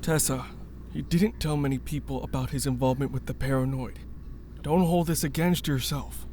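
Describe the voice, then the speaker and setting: A man's voice: medium pitch, fairly even intonation, normal speed, background noise, natural voice. A man acting a guarded, stubborn rebel in a sci-fi radio drama, earnest and formal in his speech. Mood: grave, subdued.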